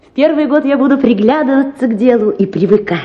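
Lively, spirited young lady. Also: high voice, fast talker, muffled recording. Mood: eager, assertive. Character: spirited, dreamy, wealthy young lady idealist. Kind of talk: theatrical radio drama acting.

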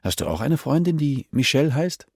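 A man voicing a composed, caring professional woman, gentle and coaxing yet self-assured, in an audiobook. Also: mid-range voice, sing-song, brisk, vocal fry, breathy edge, no echo, studio quality, voice pushed up, heavily acted. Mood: gentle, probing. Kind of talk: audiobook character dialogue.

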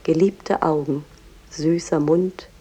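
A woman playing a cultured, devoted museum guide in a radio play, explaining things in a measured, reverent manner. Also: deep voice, steady tone, mellow, slightly rough, heavily acted. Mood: lyrical. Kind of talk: explanatory guided tour with verse recitation.

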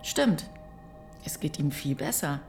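An actress playing a weary, steadfast farmer's wife in a radio drama, hushed, intimate and practical in her reassurance. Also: sits low, lively intonation, slow, creaky, soft background music, full character voice. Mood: weary, guarded. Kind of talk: naturalistic radio drama dialogue.

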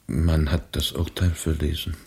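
Grim, very breathy deep-voiced man. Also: level tone, measured pace, a little mellow, heavily acted. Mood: grave, subdued. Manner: terse, heavy, reluctant, often near whisper. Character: grim, reserved male revolutionary, bitter and laconic. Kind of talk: dramatic radio play acting.

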